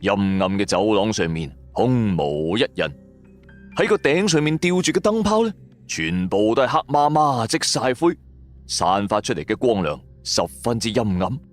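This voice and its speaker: A man's voice: on the low side, sing-song, moderate pace, faint music behind. A man narrating a scripted first-person audio drama in a colloquial, suspenseful storytelling manner.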